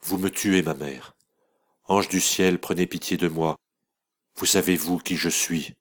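A man's voice: low voice, steady tone, unhurried. A man reading an audiobook voices an innocent young girl who pleads in anguish. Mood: desperate.

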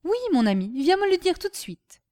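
A woman voicing a dramatic, fretful mother and lady of the house in a storybook, plaintive and high-strung. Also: sing-song, brisk, a little mellow. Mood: worried, kindly.

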